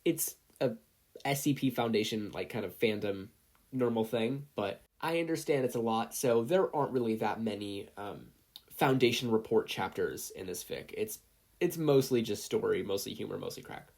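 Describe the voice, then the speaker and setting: A person narrating fan fiction like an audiobook, expressive, with casual asides. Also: medium pitch, normal speed, creaky. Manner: animated, expressive storytelling. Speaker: podfic reader, narrator.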